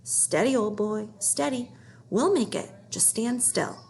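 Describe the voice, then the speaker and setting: A woman voicing a steady, determined boy rescuer in a storybook, reassuring and encouraging. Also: on the high side, unhurried, gravelly, a little hiss or hum. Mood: calm reassurance.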